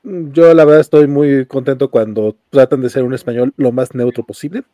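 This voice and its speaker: A man's voice: mid-range voice, steady tone, moderate pace, warm tone, no room sound. A Mexican man with a Mexican Spanish accent, chatting easily on a podcast panel and chuckling between points. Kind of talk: casual panel chat.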